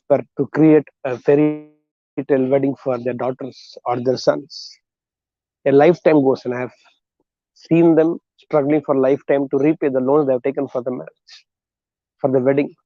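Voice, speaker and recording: A man with an Indian accent giving a motivational talk in a deliberate, emphatic way, in short phrases with rhetorical questions. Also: medium pitch, no room sound, highs cut off. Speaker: motivational speaker and counselor.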